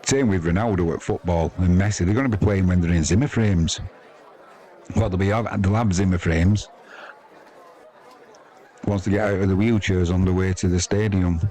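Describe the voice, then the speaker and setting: A man's voice: low-pitched, moderate pace, pauses often, warm tone. A man with an English accent hosting a live sports stream, giving casual, rambling, matey cricket commentary off the cuff. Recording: crowd noise behind the voice.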